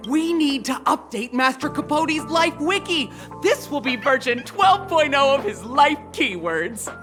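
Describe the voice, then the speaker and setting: Casting: adoring young female game fan, very high, highly animated voice. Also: unhurried. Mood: adoring, excited. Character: gushing, starstruck young female fan of a professor. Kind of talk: animated game character dialogue. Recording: game dialogue with music behind.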